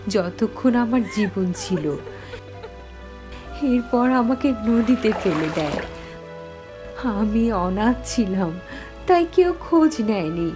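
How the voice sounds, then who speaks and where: A woman plays the ghost of a wronged young schoolteacher in a horror cartoon. Her voice is high and swings expressively as she narrates her tragic story with feeling. Soft music runs underneath. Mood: anguished. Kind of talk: dramatic first-person horror story narration.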